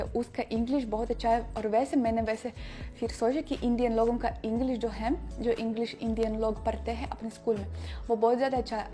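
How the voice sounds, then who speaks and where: A woman's voice: on the high side, mellow. Russian-accented woman vlogging in careful Hindi. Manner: halting, searches for words, many restarts. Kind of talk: casual chat to camera.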